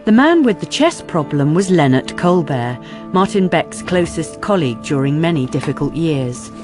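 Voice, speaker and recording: A woman's voice: deep voice, sing-song, moderate pace, music in the background. A woman narrating a scripted radio drama.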